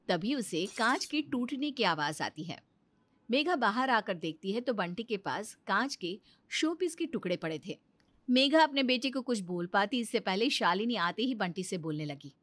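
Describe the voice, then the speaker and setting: A woman's voice: medium pitch, a bit bright, dry recording. Woman narrating a dry-recorded moral story.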